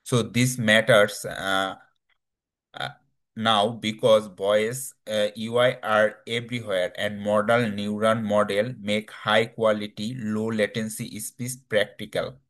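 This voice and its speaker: A man with a slightly low voice presents technical slides. He speaks slowly and haltingly, searching for his words in non-native English phrasing. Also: flat delivery, a bit bright.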